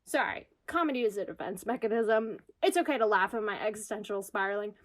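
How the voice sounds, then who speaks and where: A woman's voice: medium pitch, brisk, close to an everyday voice. A woman acting an anxious, self-deprecating single New Yorker in a comedic audio drama monologue about herself. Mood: wry, rueful. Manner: self-deprecating, wry, confessional. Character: anxious single New York woman spiraling into existential crisis. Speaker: lead actor in radio comedy.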